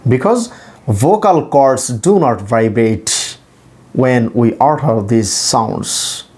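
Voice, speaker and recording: A man with a Bangladeshi accent, a native Bengali speaker, teaching English pronunciation in a deliberate, repetitive, demonstrative instructional style. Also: medium pitch, lively intonation, darkish tone.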